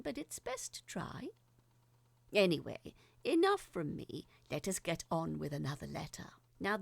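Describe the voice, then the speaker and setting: Casting: bemused, fussy woman, acted by a narrator, conversational audio drama monologue, expressive melody with vocal fry. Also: lowish voice, no room sound, pitched below the performer's own voice, full character voice. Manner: wry, musing, conversational.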